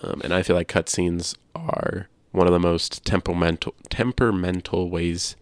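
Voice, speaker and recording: A man with a low voice talks casually as a podcast co-host, recorded dry with very little room sound. He stresses his points and often restates them for emphasis. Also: moderate pace, no room sound. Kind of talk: casual discussion of video games.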